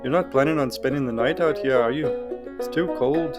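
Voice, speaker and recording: A man's voice: medium pitch, brisk, over music, voice pushed up, close to an everyday voice. A man voicing a concerned, teasing and talkative travelling companion in a fantasy story. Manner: chatty, teasing, insistent.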